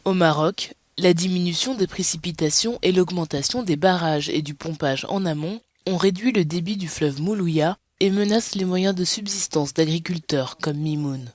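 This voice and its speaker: A reporter reading a news story as voice-over in a steady way. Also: medium pitch, moderate pace, hardly any pauses, dry recording. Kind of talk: voice-over narration.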